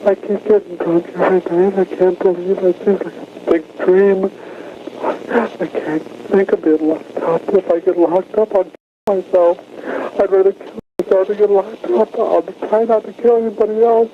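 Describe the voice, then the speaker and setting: A crying man with a whiny, weepy voice pleads and rambles in remorse. His voice comes through a tinny old phone line. A loud hiss runs behind him. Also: sits high, flat delivery, moderate pace. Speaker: anonymous caller to emergency line.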